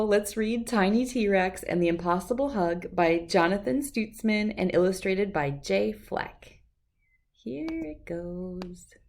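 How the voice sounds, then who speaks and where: A woman's voice: medium pitch, vocal fry. A woman hosting a children's picture book read-aloud, speaking directly to young listeners as friends.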